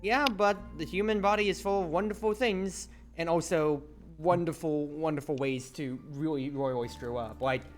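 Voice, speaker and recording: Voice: medium pitch, normal speed, hardly any pauses. A game streamer chatting casually, enthusiastic and digressive. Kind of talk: casual rambling chat. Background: faint music.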